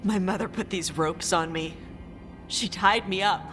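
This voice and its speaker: A woman's voice: lowish voice, unhurried, close to an everyday voice. A woman voicing an intense, driven and self-doubting young dragon slayer in a video game. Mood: anguished. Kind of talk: dramatic video game dialogue. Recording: long reverb, faint music.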